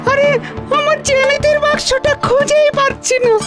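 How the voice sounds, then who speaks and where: A performer voicing a whiny young schoolboy in a cartoon, with a squeaky voice, quick to taunt. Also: little pitch movement, loud music behind the voice. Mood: upset, accusing. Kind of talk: cartoon voice acting.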